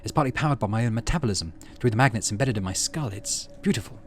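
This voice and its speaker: A man's voice: lowish voice, animated, quick pace, a little hiss or hum, natural voice. A man voicing an earnest, stoic teenage girl scientist in a visual novel, with precise, formal speech. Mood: proud, intense. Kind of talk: reading visual novel dialogue aloud.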